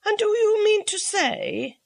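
A woman voicing a doubtful society lady who asks questions in a high, incredulous way. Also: big pitch swings, slow, vocal fry, no echo, heavily acted.

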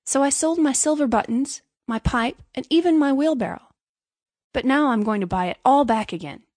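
A woman's voice: high-pitched, moderate pace, darkish tone, no room sound, pitched above the performer's own voice. Woman playing a meek, earnest little storybook man. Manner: earnest, apologetic, emphatic. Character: meek, naive, good-hearted little man, eager to please. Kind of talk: storybook character voice.

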